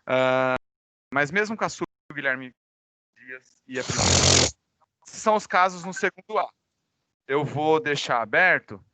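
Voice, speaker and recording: Brazilian male teacher, forceful bright tone, dry close sound, slightly under the weather with a cold, informal and conversational with his online class. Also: fairly high pitch, animated, a little slow, long pauses, no room sound. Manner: informal, conversational, addresses students directly. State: recovering from a cold. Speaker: high school physics teacher. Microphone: microphone.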